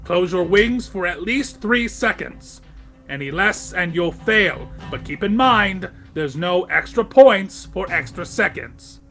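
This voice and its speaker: A man's voice: sits high, expressive, slow, fairly bright tone, soft background music, heavily acted. A man voicing a stern, unfeeling male pegasus flight instructor who barks clipped orders in an audio drama. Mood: stern, commanding. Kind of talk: audio drama fanfic reading.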